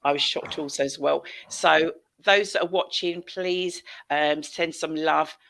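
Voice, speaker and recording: A middle-aged woman presents a casual online show with plenty of fry in her voice. She is effusive, often repeating words for emphasis. She speaks into a headset on a video call. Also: deep voice, fairly even intonation, measured pace, fairly bright tone, a little hiss or hum. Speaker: show host. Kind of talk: casual livestream hosting. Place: small indoor room on video call.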